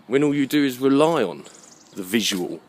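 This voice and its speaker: A man's voice: medium pitch, animated, moderate pace. A British man giving a rambling, opinionated monologue, emphatic and fond of rhetorical questions. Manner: emphatic, rhetorical questions, checks listener understands. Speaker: vlogger.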